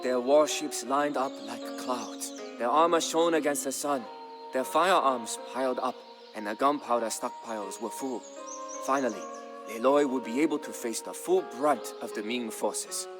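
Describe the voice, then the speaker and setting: Guy narrating a war history story for a game, solemn and measured, mid voice, music playing behind him. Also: medium pitch. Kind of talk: scripted dramatic historical narration. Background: background music.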